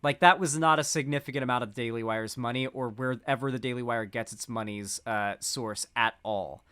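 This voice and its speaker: A man's voice: mid-range voice, brisk, pushed and bright, smooth. A man giving casual political commentary, rambling with frequent restarts.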